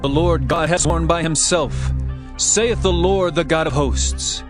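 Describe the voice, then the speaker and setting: A man's voice: fairly high pitch, unhurried, close to an everyday voice. A man playing an earnest herdsman prophet, solemn and declamatory, in a dramatized scripture reading. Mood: solemn, warning. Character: Amos, earnest male herdsman prophet proclaiming judgment. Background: music.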